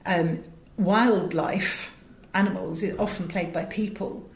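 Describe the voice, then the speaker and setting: A woman's voice: lowish voice, fairly even intonation, takes it slowly. A middle-aged woman with an English accent, telling anecdotes emphatically and theatrically as an interview guest. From England. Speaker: interview guest, voice director and audio producer. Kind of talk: animated anecdotal interview talk. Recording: roomy echo with faint background noise.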